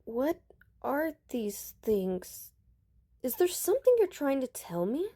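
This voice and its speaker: A woman's voice: high-pitched, very animated, slow, mellow, full character voice. A woman voicing a protective, reproachful older sister from a tribe, pleading and scolding in a dramatic manga dub.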